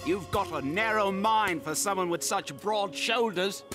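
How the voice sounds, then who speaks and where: Fiery revolutionary orator over loud music. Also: male voice, high voice, measured pace. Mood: defiant, scornful. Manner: oratorical, emphatic. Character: fiery, stubborn male revolutionary pamphleteer, impassioned and scornful. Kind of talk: animated history cartoon acting.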